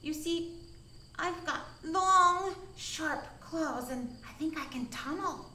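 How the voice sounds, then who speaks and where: Woman voicing a tiny, high, stammering shy possum. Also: slow pace, pushed and bright, reverberant, slight background noise, heavily acted. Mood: timid, eager. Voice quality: small, high voice. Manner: timid, stammering, hesitant. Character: shy, timid little round male possum.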